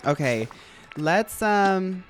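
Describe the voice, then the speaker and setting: Animated streamer with a squeaky, nasal voice. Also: extremely slow, soft background music. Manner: chatty, animated, laughs often, talks to the game and chat. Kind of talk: casual live game-stream chat.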